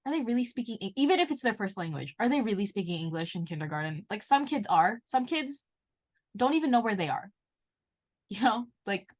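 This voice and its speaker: A young woman co-hosting a casual podcast. She speaks bluntly and with emphasis, dropping "like" all through, at a middle pitch for a woman. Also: very animated, brisk. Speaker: podcast co-host. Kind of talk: casual chat.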